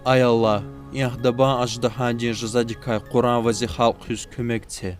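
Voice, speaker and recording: A man's voice: medium pitch, flat delivery, normal speed, soft background music, close to an everyday voice. A man voicing an earnest, devout man in a dubbed cartoon tale. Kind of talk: cartoon dub acting.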